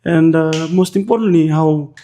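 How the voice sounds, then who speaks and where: A Fijian man in his twenties with a Fijian accent, a podcast guest telling his own story with many hesitant pauses. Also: a little high, mellow. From Fiji. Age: 20s. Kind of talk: personal story in a podcast interview.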